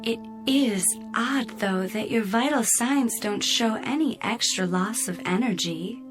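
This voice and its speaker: Woman as slow-spoken, polite spaceship computer. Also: a little high, over music, close to an everyday voice. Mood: coolly teasing. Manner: measured, precise, over-polite. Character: polite, needling female spaceship computer, coolly solicitous. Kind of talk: radio drama acting.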